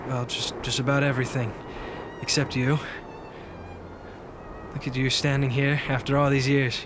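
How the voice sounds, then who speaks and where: An actor playing an earnest, reassuring persuader in an audio drama, soothing and a little hesitant. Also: male voice, medium pitch, natural voice.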